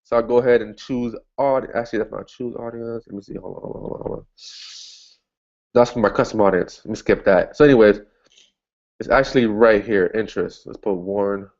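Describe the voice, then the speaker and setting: A man's voice: brisk, gravelly, a little mellow. Chatty man thinking aloud on a lo-fi recording. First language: English. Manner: chatty, thinks aloud, frequent restarts and "boom". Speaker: online marketing instructor. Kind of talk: casual screen-share tutorial.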